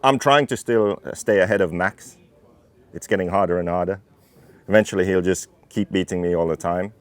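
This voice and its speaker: A man in his thirties from Finland, a racing team owner calmly explaining his car in technical detail. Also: low-pitched, fairly quick. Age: 30s. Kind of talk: interview talk about his car.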